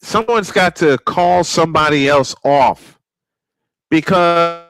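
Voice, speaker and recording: A man calling live baseball play-by-play, excitable and prone to repeating his points. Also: on the high side, rough recording. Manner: excitable, repeats points, quick calls of action. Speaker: play-by-play announcer. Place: home sports studio.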